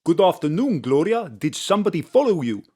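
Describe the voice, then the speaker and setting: A man's voice: fairly high pitch, very animated, fairly quick, a little mellow, slightly rough. A man playing an eccentric scheming millionaire villain with an Afrikaans accent in a comic audio drama, delivered hammy and theatrical. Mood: pompous. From Birmingham. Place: home studio.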